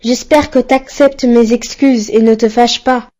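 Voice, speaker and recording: A woman's voice: on the high side, steady tone, unhurried, dark tone, close to an everyday voice. A woman voicing a polite, caring boy who looks after his sick mother in a cartoon. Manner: polite, apologetic.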